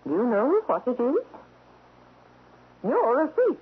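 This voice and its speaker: An actress playing an imperious, wealthy widow in a radio drama, sharp and unyielding, with precise, haughty, formal diction. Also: female voice, sits high, huge pitch swings, takes it slowly, slightly rough, full character voice. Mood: coolly accusing. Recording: muffled lo-fi old radio broadcast.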